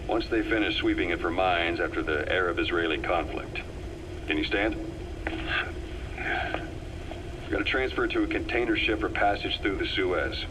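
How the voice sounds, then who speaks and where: An actor playing a composed, worldly military operative giving a measured briefing in a video game. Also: male voice, low voice, noisy background, natural voice. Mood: brisk, instructive. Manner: measured, matter-of-fact, explanatory.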